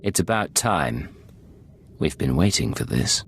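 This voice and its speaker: An actor playing a cool, sardonic secret agent in a video game, dry and self-assured. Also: male voice, rumbling low, very animated, unhurried, husky, heavily acted. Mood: wry, confident. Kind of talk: video game cutscene acting.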